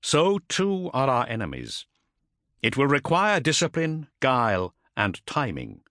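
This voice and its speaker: Man voicing a stern warrior captain, pitched up, emphatic. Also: wildly swooping pitch, a bit bright, dry recording, studio quality. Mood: grave, resolute. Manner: formal, measured, emphatic oratory. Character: stern, resolute male warrior captain, formal and commanding.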